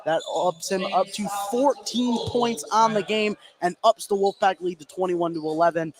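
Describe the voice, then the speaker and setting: A man doing live play-by-play radio commentary of a basketball game, calling plays excitably as they happen. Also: high-pitched, moderate pace, crowd noise. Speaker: play-by-play sports announcer. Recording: noisy live gym broadcast.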